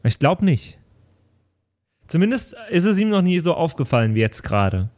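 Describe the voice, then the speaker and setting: A man's voice: a little high, big pitch swings, moderate pace, a little mellow. A man chatting casually as a player in an improvised pen and paper audio play.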